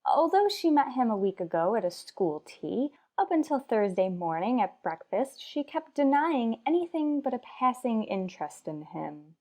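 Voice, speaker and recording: A woman playing a wry, sardonic high school English teacher in an old-time radio sitcom, delivering dry wisecracks. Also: sing-song, moderate pace, few pauses, a little mellow. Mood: wry narration.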